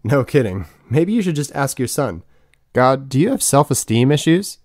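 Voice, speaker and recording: A man's voice: medium pitch, sing-song, moderate pace, a little mellow, no room sound, clean studio sound. A man in his twenties playing a cynical, exasperated young atheist in an audiobook dialogue, casual and sarcastic.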